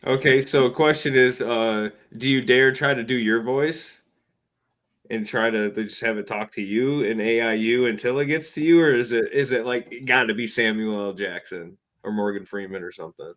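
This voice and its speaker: A man's voice: medium pitch, moderate pace, a bit bright. A man talking casually as a podcast panelist, brainstorming in an informal, jokey way with hesitant phrasing.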